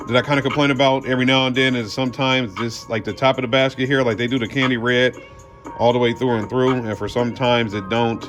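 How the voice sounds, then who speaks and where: Easygoing colloquial man, music behind. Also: medium pitch. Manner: colloquial, addresses viewers as y'all and man. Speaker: car audio channel host. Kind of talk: casual product unboxing talk.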